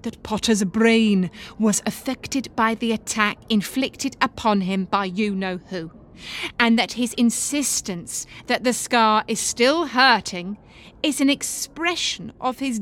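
A gossipy female newspaper reporter, acted by a woman, high in pitch. She reads her sensational article with emphasis and insinuation, as in audiobook drama. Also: slow pace, fairly bright tone. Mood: insinuating. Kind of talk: dramatised reading of a tabloid article.

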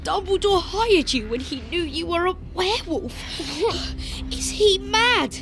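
A woman's voice: sits high, normal speed, music in the background, pitched above the performer's own voice, natural voice. A woman voicing an outspoken, fiery, clever teenage witch girl in an audiobook, with an emphatic, accusing manner. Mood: angry, accusing. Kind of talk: audiobook character dialogue.

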